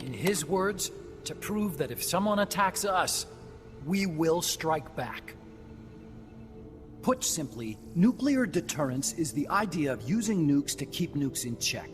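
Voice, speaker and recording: Earnest young scientist: lighter voice, lecturing, over score. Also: male voice, a little high, big pitch swings, unhurried. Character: earnest young male engineer scientist, talkative. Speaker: game character. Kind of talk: game cutscene voice acting. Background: game score music.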